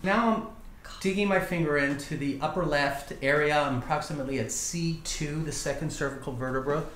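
A middle-aged man, a chiropractor calmly instructing and explaining adjustments to a patient as he works. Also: fairly high pitch, fairly quick. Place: small indoor treatment room. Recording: echoey room with background noise.

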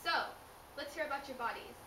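A woman's voice: sits high, animated, fast. A woman voicing a curious, peacemaking penguin moderator in an amateur comic puppet show, inquisitive and giggly. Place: indoors, homemade puppet stage filmed by a camera. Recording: echoey, distant, noisy home camera recording.

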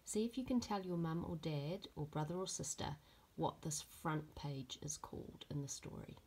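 A woman, a primary school teacher reading a storybook aloud to young children in a simple, expressive way. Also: low-pitched, normal speed, husky.

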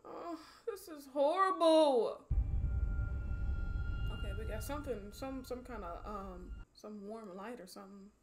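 A woman's voice: a little high, measured pace, soft background music. A woman in her twenties giving casual, emotional, slangy film reaction commentary, full of interjections, recorded in a small room. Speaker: movie reaction host. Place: small room at home, indoors.

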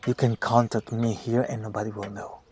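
A man's voice: on the low side, little pitch movement, fairly quick, background noise, lightly acted. A man playing a distracted, amorous writer in an audio drama. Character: distracted, smooth-talking male writer, secretly amorous.

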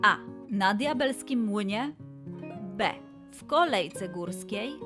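A woman's voice: mid-range voice, expressive, takes it slowly. A woman presenting a scripted quiz for children as host, announcing and addressing the young listeners directly. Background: music.

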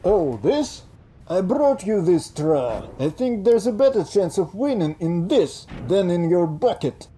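A man's voice: sits high, big pitch swings, a little slow, warm tone, slight background noise. An actor playing an eager young male mechanic who wears a gas mask.

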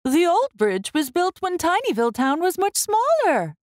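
A woman's voice: very high-pitched, wildly swooping pitch, normal speed, no echo, studio quality, voice pushed up, exaggerated voice. A woman voicing an earnest town engineer in a children's storybook, explaining things emphatically.

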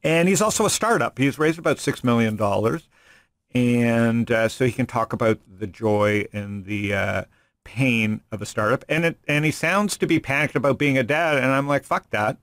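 A man's voice: medium pitch, normal speed, fairly bright tone, no room sound. A middle-aged man hosting a casual podcast, rambling and joking irreverently. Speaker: podcast host and venture investor.

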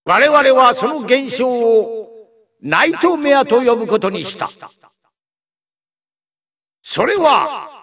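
An old male robot scientist, strikingly high for a man and slow. He proclaims pompously, as a game villain would, a little distant. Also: big pitch swings, fairly bright tone, slightly rough, heavily acted. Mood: grandiose. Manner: pompous, oratorical. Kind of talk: formal announcement in Japanese game acting.

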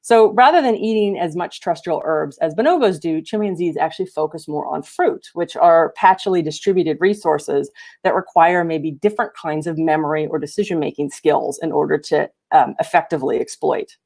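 A woman's voice: deep voice, moderate pace, gravelly, darkish tone. A woman giving an explanatory research seminar talk over a video call, sometimes repeating words as she goes. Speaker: academic researcher presenting.

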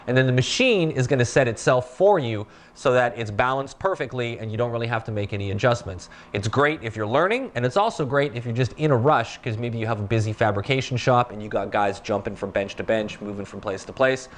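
A man in his thirties giving a casual demonstration of a welding machine in a workshop, talking in a relaxed, plain-spoken way with fillers. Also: mid-range voice, brisk.

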